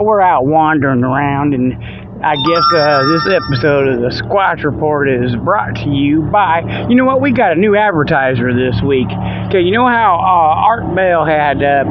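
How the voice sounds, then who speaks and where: A man hosting a comic radio-style show, rambling in a folksy, ungrammatical way through mock sponsor reads and casual monologue. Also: on the high side. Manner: folksy, rambling, ungrammatical, peppered with "okay" and "you know". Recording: dull lo-fi audio with background noise.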